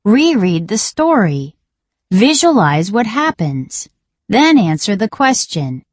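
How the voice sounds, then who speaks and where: A woman reading a scripted children's reading lesson in a deliberate, instructional manner. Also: medium pitch, big pitch swings, no echo. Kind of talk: scripted educational read-along narration for children.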